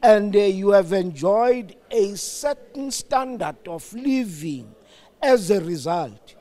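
Slow, high-voiced older South African man. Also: warm tone. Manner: deliberate, halting, emphatic. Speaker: interview guest. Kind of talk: reflective political discussion.

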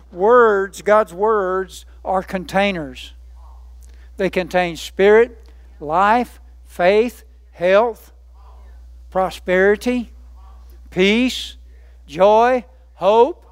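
A man's voice: high-pitched, no echo. A man preaching a sermon, emphatic, building lists by repetition and asking rhetorical questions.

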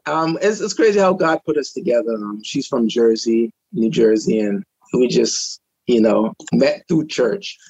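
A man from Trinidad, a guest on a talk show, relaxed in telling his own story with many fillers, speaking from his home over a video call. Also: mid-range voice, unhurried, gravelly, few pauses, slightly rough, dull audio. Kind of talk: personal testimony in casual chat.

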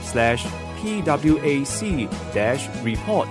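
A man's voice: a little high, big pitch swings, slow pace. A man reading a scripted sponsor announcement. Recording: music loud behind the voice.

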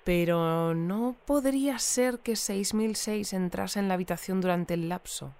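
A smooth, clear female voice at a middling pitch, an actress as a news interviewer in an audio drama. She is measured and inquisitive, phrasing questions carefully and pressing politely for answers. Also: a little mellow, close to an everyday voice. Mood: polite, persistent.

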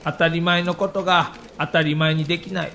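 A middle-aged baseball manager from an audio drama, with a notably high voice and a Kansai accent. He speaks in measured, resigned phrases, humbly blaming himself. There is faint noise behind him. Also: level tone, gravelly, sharp tone. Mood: self-reproachful. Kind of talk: acted monologue in audio documentary.

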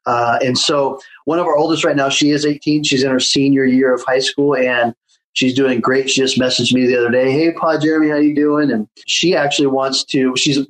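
A man's voice: mid-range voice, poor audio. A man being interviewed, telling his story in a casual way with many fillers and restarts. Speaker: guest, children's home founder. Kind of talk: conversational interview.

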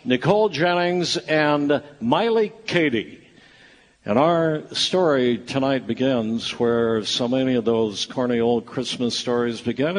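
A man's voice: a little high, big-room sound, rough recording. A man announcing and narrating a live radio play on stage, with a wry, theatrical showman's delivery.

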